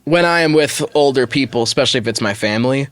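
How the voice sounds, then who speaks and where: A man's voice: medium pitch, fast, a bit bright, clean tone, no echo. A man co-hosting a podcast in casual, opinionated discussion, reasoning through both sides and acting out imagined lines. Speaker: podcast co-host.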